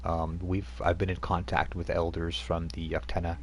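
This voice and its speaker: A man's voice: sits low, completely flat delivery, moderate pace. A middle-aged man acting as game master, loose and chatty, full of fillers and restarts. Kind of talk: running a tabletop game over a live stream.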